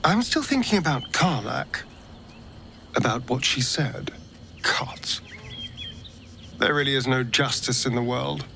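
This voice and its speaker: A man playing a troubled, brooding adventurer in a video game with a gravelly voice. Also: mid-range voice, expressive, slow, pauses often, bright, forceful tone, a little hiss or hum, heavily acted. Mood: bitter sorrow. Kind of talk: video game character acting.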